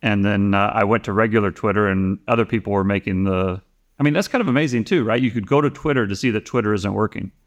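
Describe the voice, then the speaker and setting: Deep-voiced man chatting on a podcast. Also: fast. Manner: rambling, many restarts and fillers. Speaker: podcast guest. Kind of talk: casual conversation.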